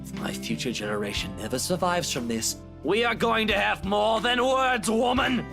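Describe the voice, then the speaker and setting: A woman voicing a sarcastic, cocky man with a soft spot for his godchild, mocking and dryly dramatic, in a visual novel. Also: mid-range voice, lively intonation, moderate pace, vocal fry. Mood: indignant, mocking. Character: sarcastic, cocky adult man, fond godfather. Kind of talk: visual novel character voice-over. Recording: music behind the voice.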